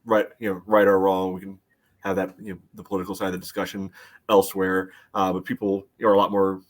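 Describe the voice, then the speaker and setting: Male sports podcast panelist, lowish voice, conversational, hesitant and filler-heavy phrasing. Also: monotone, quick pace. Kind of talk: casual sports podcast discussion.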